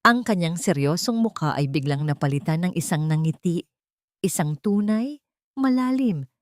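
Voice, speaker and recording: A woman's voice: lowish voice, no room sound, studio quality. A woman reading a scripted love story as a dramatic audio drama narrator.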